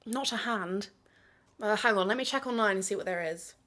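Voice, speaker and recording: A woman's voice: mid-range voice, brisk, a bit bright, natural voice. A woman playing a supportive, practical friend and co-podcaster in an audio drama.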